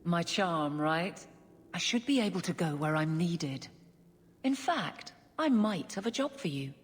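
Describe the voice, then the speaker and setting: An actress voicing a blunt, stern female guard captain in a fantasy video game, speaking in a gruff, matter-of-fact way. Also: on the low side, animated, unhurried, big-room sound, slight background noise. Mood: frustrated. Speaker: city guard officer.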